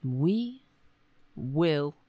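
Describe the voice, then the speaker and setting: A woman hosting a casual political talk show, rambling and sarcastic, hoarse because she is losing her voice. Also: low voice, very animated, very slow, warm tone, no echo.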